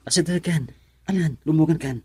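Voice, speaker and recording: A man's voice: fairly high pitch, brisk, mellow, slight background noise, close to an everyday voice. An actor in an Ilocano radio drama playing an earnest, reassuring young man running away with his sweetheart.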